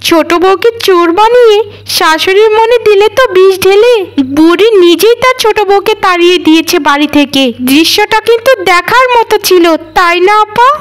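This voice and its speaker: A woman's voice: extremely high pitch, normal speed, voice pushed up. A woman voicing a scheming young daughter-in-law in a cartoon, in an exaggerated, theatrical manner. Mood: gloating. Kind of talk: cartoon voice acting.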